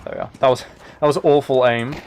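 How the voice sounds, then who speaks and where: Male game streamer, medium pitch, casual and self-deprecating chat, with clear gunfire and clicking behind him. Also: fairly even intonation, fast talker, noisy background. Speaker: live streamer. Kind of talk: casual live gaming commentary. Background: video game gunfire, clicking.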